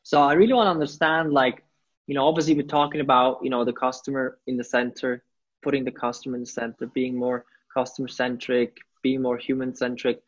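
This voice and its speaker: A man's voice: medium pitch, little pitch movement, brisk. A man hosting an interview show, speaking with enthusiasm and often repeating himself. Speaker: podcast host. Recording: dull lo-fi audio.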